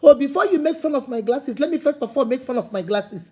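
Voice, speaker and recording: Husky high-voiced Nigerian woman preaching. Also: lively intonation, fast, darkish tone. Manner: preachy, repetitive, rising to emphatic calls. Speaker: motivational speaker. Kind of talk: impassioned motivational talk to camera.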